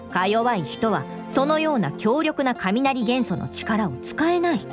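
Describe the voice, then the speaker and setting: An earnest female knight commander in an anime game. The voice is fairly high, formal and firm, with music clearly behind it. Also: sing-song, unhurried. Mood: stern.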